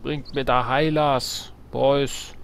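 A man's voice: mid-range voice, level tone, slow pace, gravelly, fairly bright tone, a little hiss or hum. A man giving casual, jokey gameplay commentary, slangy and often talking to himself and to his game units. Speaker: let's player.

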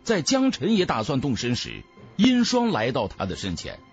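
A man narrating an audiobook in a dramatic, theatrical, expressive storyteller's manner. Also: medium pitch, normal speed, vocal fry, muffled recording, soft background music.

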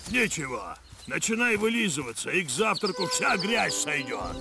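An actor voicing a sardonic, grumbling pilot in a jungle adventure cartoon, with a scornful manner. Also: male voice, high-pitched, very animated, normal speed, vocal fry, loud music behind the voice, heavily acted. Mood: smug. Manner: sarcastic, scornful. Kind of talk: animated series voice acting in Russian.

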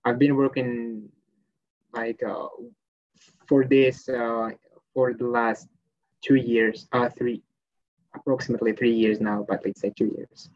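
A man's voice: mid-range voice, flat delivery, unhurried, pauses often, no echo, dull audio. A young man from Morocco giving a live online presentation on a video call, hesitant and full of fillers. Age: young adult. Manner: hesitant, many fillers and restarts. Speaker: guest presenter, language teaching assistant. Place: small room at home, indoors. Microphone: laptop webcam, video call.

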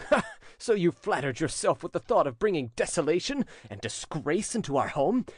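Man playing a proud, scornful young fisherman, high-pitched. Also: sing-song, moderate pace, darkish tone, breathy edge, no echo, clean studio sound. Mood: indignant fury. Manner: haughty, scornful, declamatory. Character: proud, indignant young fisherman, protective brother.

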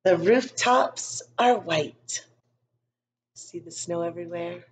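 A woman hosting a read-aloud for young children, reading a picture book expressively and deliberately with chatty asides. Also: lowish voice. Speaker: school read-aloud host.

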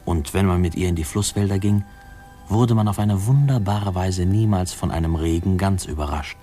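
An actor playing a man tenderly remembering his childhood, narrating a 1950s radio play in a mellow, reflective way. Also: low-pitched, normal speed, natural voice. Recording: old radio play, music behind.

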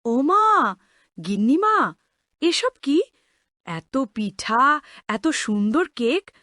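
A meek young cartoon maid, played by a woman, high-voiced with wide swings in pitch. She sounds earnest, wide-eyed and deferential, in a dry studio sound. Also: fairly bright tone, no echo, heavily acted. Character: humble, hardworking young orphaned housemaid, earnest. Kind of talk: cartoon story voice acting.

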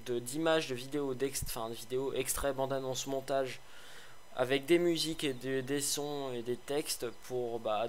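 Male game reviewer, slightly hoarse, rambling and sarcastic, mid-pitched, light background noise. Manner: chatty, rambling, many fillers and restarts; sarcastic asides. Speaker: gaming video host. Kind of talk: casual game review commentary.

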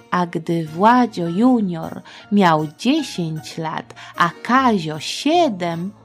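A middle-aged woman narrating history for children in a colloquial, playful way with affectionate diminutives. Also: on the low side. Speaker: podcast host and storyteller for children.

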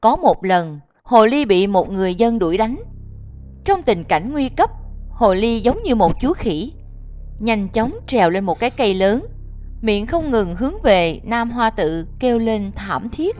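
A woman narrating an animated children's story from a script. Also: a little high, unhurried. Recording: muffled audio under music.

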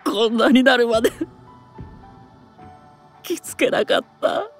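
An actor playing a gentle, guilt-ridden young man in a Japanese voice drama, crying as he speaks in a breathy, broken voice. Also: extremely high pitch, unhurried, faint music behind, full character voice. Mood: tearful remorse.

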